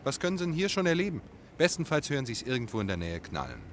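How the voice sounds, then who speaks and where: An actor playing a flirtatious, self-important stage player in a German radio play, teasing and grandiloquent. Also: male voice, medium pitch, big pitch swings, fast, slight background noise, natural voice.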